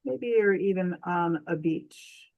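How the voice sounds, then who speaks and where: A woman leading a guided meditation in a gentle, soothing, unhurried manner, leaving long pauses between phrases. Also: on the low side, lively intonation, creaky, highs cut off.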